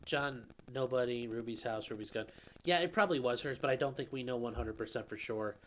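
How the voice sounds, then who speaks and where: A man's voice: medium pitch, a little fast. Casual man answering live chat. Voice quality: calls his own voice nasally; a viewer calls it velvety. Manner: conversational, answers viewer questions with fillers and restarts. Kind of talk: casual live-stream commentary.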